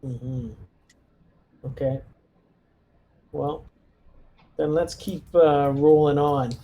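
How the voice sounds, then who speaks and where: A man's voice: fairly high pitch, steady tone, very slow, muffled recording. A man chatting casually as a player in a tabletop roleplaying game, thinking aloud in a tentative way. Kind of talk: casual in-character group chat. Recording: home recording with faint noise.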